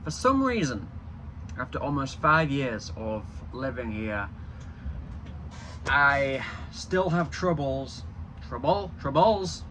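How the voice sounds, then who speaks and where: A man's voice: medium pitch, very animated, slow pace, long pauses, sharp tone, a little hiss or hum, poor audio. A young man from Yorkshire with a Yorkshire accent touched by a Canadian twang, chatting casually in a rambling vlog. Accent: Yorkshire English with a slight Canadian twang. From Yorkshire, northern England. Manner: chatty, rambling, with frequent restarts. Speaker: vlogger.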